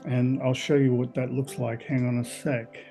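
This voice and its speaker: Man, mid-pitched voice, friendly and laughing, video call. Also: level tone, moderate pace, creaky, dark tone, faint music behind. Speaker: documentary maker, meetup participant. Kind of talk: casual chat on a video call.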